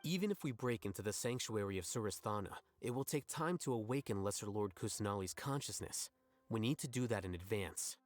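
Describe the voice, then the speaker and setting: Man acting a low-voiced calculating scholar. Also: expressive, no room sound, soft background music, close to an everyday voice. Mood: composed. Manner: measured, articulate, explanatory. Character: calm, calculating male scholar strategist. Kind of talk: video game voice acting.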